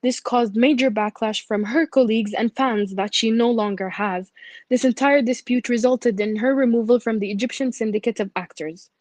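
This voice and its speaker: A woman's voice: fairly high pitch, moderate pace, a little mellow, no room sound. A woman reporter closing a scripted news segment. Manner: read news copy.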